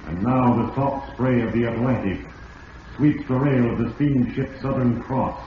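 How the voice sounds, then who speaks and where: A man's voice: medium pitch, close to an everyday voice. Echoing, ominous voice of Fate played by a man. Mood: ominous, solemn. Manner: portentous, deliberate, theatrical. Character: Fate personified, ominous all-knowing male narrator. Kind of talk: old-time radio mystery narration. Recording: muffled old radio recording, echoing, distant, hissy.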